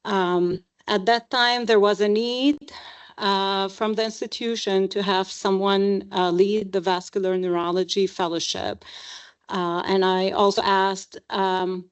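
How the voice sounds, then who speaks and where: Unhurried woman, hesitant speaker. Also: mid-range voice, fairly even intonation, smooth, muffled recording. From Lebanon. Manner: hesitant, frequent ums and uhs. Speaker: neurologist panelist. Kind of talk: conference panel talk.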